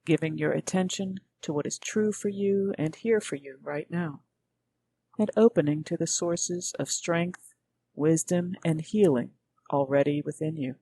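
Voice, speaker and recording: A woman guiding a meditation as a teacher, speaking gently in measured, unhurried phrases with pauses. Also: deep voice, a little hiss or hum. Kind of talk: guided meditation narration.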